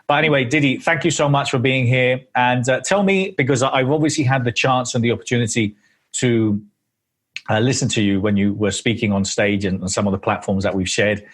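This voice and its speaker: A man's voice: mid-range voice, steady tone, brisk. A man hosting an interview, introducing his guest with many hesitations and eager restarts. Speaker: host.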